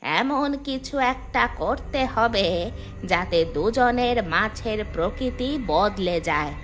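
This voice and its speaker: A bossy, scheming village wife. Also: female voice, mid-range voice, lively intonation, takes it slowly, fairly bright tone. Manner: impatient, scolding. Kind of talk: dubbed cartoon dialogue. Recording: music in the background.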